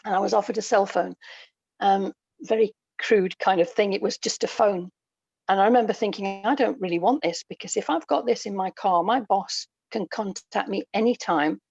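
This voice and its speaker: A woman's voice: mid-range voice, normal speed, creaky, darkish tone, slightly rough, dry recording. A middle-aged woman with a British accent chats as a guest over an internet call. Her sound is dry and a little dull, and she talks reflectively in casual anecdotes, often checking in with tag questions. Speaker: podcast guest, writer.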